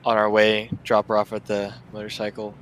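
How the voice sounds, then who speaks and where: A man's voice: lowish voice, monotone, brisk, a bit bright, slight background noise. A man playing a tabletop roleplaying game, casually describing his character's actions and hesitantly searching for words.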